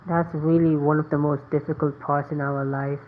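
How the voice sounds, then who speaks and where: Low-pitched woman, thin phone-like sound. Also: flat delivery, moderate pace, slight background noise. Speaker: gameplay commentator. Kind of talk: casual reactions and reading along. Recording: thin phone-like, band-limited microphone.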